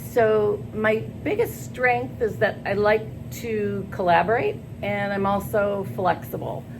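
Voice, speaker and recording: An older woman answering job interview questions in an earnest, halting way, with many pauses and fillers. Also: mid-range voice, steady tone. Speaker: school staff job candidate. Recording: noisy background.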